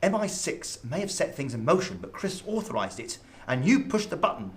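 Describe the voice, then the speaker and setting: A man's voice: on the high side, big pitch swings, a little fast. A man acting in a radio drama as an exasperated government diplomat, sarcastic and accusing. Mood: exasperated, scathing.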